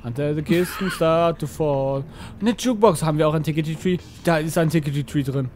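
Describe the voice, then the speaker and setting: A man's voice: on the high side, brisk, a little mellow, soft background music. A young man co-commentating on a video game in a casual, wry, playful way.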